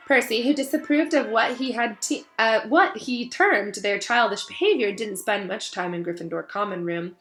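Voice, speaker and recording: A woman's voice: on the high side, low-quality recording. A woman teacher reading a story aloud to children in an encouraging, emphatic way. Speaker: elementary school teacher reading aloud to students.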